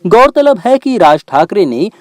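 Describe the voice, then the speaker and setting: Animated, higher-pitched male news narrator. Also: measured pace, no echo. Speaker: news reporter.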